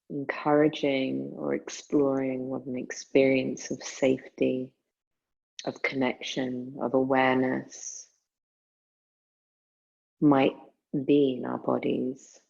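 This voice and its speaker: A woman guiding a meditation in a soothing, unhurried manner, leaving long pauses between phrases. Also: a bass voice, little pitch movement, vocal fry, darkish tone, breathy edge, dull audio. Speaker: yoga nidra meditation guide. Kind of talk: guided meditation.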